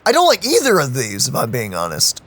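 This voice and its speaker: A man's voice: mid-range voice, huge pitch swings, a little fast, fairly bright tone. A man chatting casually as a gaming streamer, reacting in an informal, exclamatory, joking way. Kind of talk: casual reactive let's play chat. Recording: game music behind the voice.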